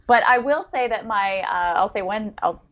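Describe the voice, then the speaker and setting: A woman's voice: medium pitch, moderate pace, fairly bright tone. Chatty woman podcast host, slightly dull audio. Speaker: podcast co-host interviewing a writer. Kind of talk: casual chat.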